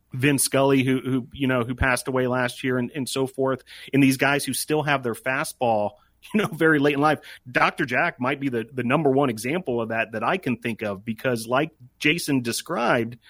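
A man with a mid-pitched voice talks sports as a podcast co-host. His delivery is casual and reflective, a little halting, with words repeated as he thinks aloud. The sound is dry and close. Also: fairly bright tone, no echo. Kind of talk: casual conversational sports commentary.

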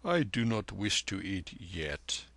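A man voicing a gentle, weary old Tibetan lama who speaks in solemn, measured, old-fashioned phrases. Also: low voice, big pitch swings, vocal fry, no echo, heavily acted. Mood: serene, earnest.

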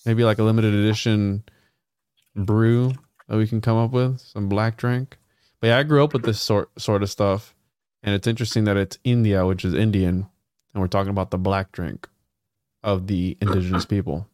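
A man's voice: fairly low pitch, fairly even intonation, moderate pace, darkish tone, clear, resonant tone, no room sound, clean studio sound. A man from Puerto Rico co-hosting a livestream, chatting enthusiastically. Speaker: co-host. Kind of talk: casual livestream chat.

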